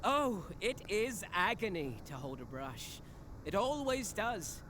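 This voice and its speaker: A tormented, mad painter from a gothic horror game, acted by a woman, hushed and theatrical. The slow lines swoop in pitch and come through faint and distant over light noise. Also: very animated. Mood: pained relish.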